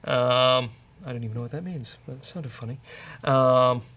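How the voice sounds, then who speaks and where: A man's voice: mid-range voice, normal speed, fairly bright tone. A man with a British accent hosting a casual live coding walkthrough, thinking aloud in a jokey way. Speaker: host of a coding show. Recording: keyboard clatter and clicking noise. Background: typing and mouse clicks.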